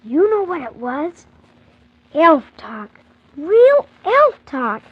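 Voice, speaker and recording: A woman's voice: high-pitched, big pitch swings, extremely slow, exaggerated voice. A woman playing an eager, wide-eyed young orphan boy, telling his adventure earnestly and full of wonder in a 1940s children's radio serial. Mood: wonder-filled. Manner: earnest, wonder-filled, childlike storytelling with exclamations. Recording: muffled 1940s radio transcription, faint hiss.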